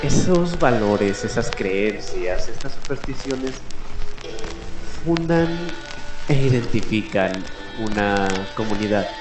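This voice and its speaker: A man hosting a late-night mystery radio show, speaking in a theatrical, deliberate way with dramatic pauses. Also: fairly low pitch, animated. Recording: roomy echo, music behind. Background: music.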